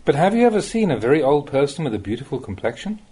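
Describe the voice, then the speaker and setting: Expressive, mellow-voiced man reading Buddhist teachings. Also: a little high, fast talker, breathy edge. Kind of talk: reading a recorded Dhamma talk aloud.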